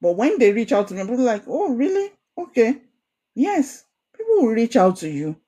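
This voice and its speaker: A young Nigerian woman with a proud Ijesha accent leads an online coaching session for a women's group. She speaks in an animated, encouraging way and keeps repeating key phrases for emphasis. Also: a little high, fairly quick. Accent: Nigerian, Ijesha Yoruba. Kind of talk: online course talk.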